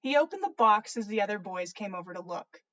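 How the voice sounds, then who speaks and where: A woman's voice: medium pitch, lively intonation, fairly quick, a bit bright. A woman hosting a story livestream, reading narration aloud in an informal way with casual asides. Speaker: podcast host reading stories.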